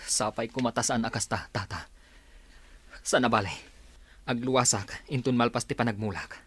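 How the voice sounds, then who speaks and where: A man acting a husky, loyal young Ilocano man. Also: medium pitch, normal speed, fairly bright tone. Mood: reassuring. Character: loyal, reassuring younger man helping a father. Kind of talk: Ilocano radio drama acting.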